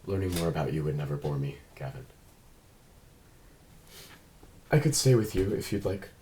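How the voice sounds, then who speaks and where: A man playing an earnest, reserved android detective in a drama read-through, speaking in measured, precise, formal phrases. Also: very deep voice, big pitch swings, mellow, low-quality recording. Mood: gentle concern. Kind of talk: table read of a drama script.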